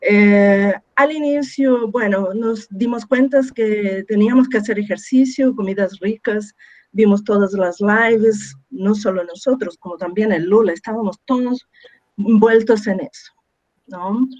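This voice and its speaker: A Chilean-born woman whose Spanish carries a strong Brazilian Portuguese accent, giving a measured talk on a video call with frequent hesitations. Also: medium pitch, a little mellow, highs cut off. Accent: Chilean Spanish with strong Brazilian Portuguese influence. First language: Spanish, long living in Brazil. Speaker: architect and webinar speaker.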